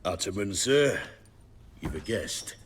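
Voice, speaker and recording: A man's voice: sits low, big pitch swings, slow pace, slight background noise, heavily acted. A man voicing a rowdy bandit henchman in a game, declaiming in a jovial, boisterous way. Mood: gruffly respectful. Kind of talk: video game cutscene dialogue.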